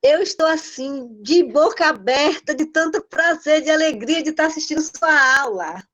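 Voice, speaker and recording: High-pitched woman full of praise. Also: animated, normal speed, a bit bright, dull audio. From Bahia, Brazil. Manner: admiring, enthusiastic praise. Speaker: audience member. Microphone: video call.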